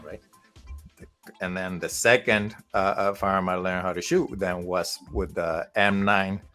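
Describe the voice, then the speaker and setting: Podcast guest, man, forties, from Puerto Rico; low voice, rambling, faint music bed. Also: fairly bright tone. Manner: rambling, many fillers and restarts. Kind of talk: casual storytelling.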